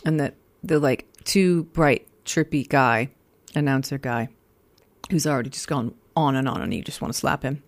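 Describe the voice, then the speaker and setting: A woman hosting a radio show in casual chat, with an expressive, playful way of talking. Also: rumbling low, normal speed, dry recording.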